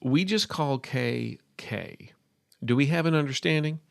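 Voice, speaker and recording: Terse, gravelly gunslinger played by a man. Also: medium pitch, a little slow, no room sound, clean studio sound, pitched above the performer's own voice. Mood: exasperated. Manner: flat, curt. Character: terse, guarded gunslinger man, gruffly protective. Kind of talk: storybook character voice.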